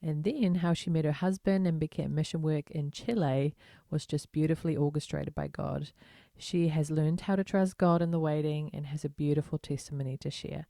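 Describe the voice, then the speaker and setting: A woman's voice: low-pitched, steady tone, moderate pace, vocal fry, few pauses, warm tone, dry recording, studio quality. A woman hosting a podcast, introducing her guest and asking conversational questions. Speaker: podcast host.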